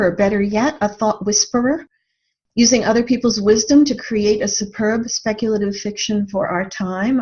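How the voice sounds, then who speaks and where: A woman's voice: a little high, a little mellow. A woman speaking as a guest author in a conversational interview, in an earnest, reflective manner. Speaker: guest author promoting a book. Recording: dull, muffled lo-fi audio.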